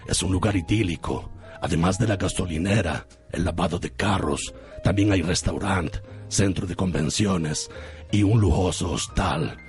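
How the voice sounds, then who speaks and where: A man's voice: low-pitched, moderate pace, faint music behind. A man acting a pompous, evasive lover in a theatrical, over-earnest radio soap parody. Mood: smug, evasive.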